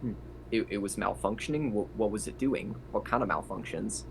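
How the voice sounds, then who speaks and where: A man playing a tabletop roleplaying game, talking casually and haltingly, partly in character. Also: on the low side, gravelly, darkish tone. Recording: noisy home mic.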